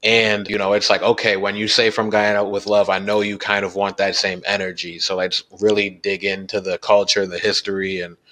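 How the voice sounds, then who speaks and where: Man, American, low voice, relaxed, casual interview guest. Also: a little fast, hardly any pauses, low-quality recording. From United States. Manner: relaxed, explains at length with many fillers. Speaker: guest musician.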